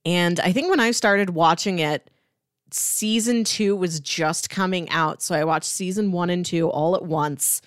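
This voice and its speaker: A young adult woman co-hosting a podcast in relaxed, conversational chat. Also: fairly low pitch, unhurried, clean tone, no room sound, studio quality. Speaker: podcast co-host. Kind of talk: casual chat.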